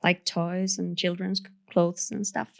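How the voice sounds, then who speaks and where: A woman's voice: lowish voice, little pitch movement, unhurried, gravelly. A woman from Finland with a Finnish accent, talking about her business as a podcast guest, hesitant with many fillers and restarts. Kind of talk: casual interview.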